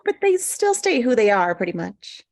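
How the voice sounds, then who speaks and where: Woman playing an awkward, high-voiced, defensive single woman. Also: sing-song, moderate pace, a little mellow. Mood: polite, guarded. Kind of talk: screenplay table read.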